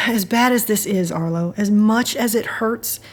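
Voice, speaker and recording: A woman's voice: mid-range voice, slow pace, natural voice. A woman playing an earnest, principled friend in an audio drama, reasoning and pleading firmly. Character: earnest woman, a firm moral voice to her friend.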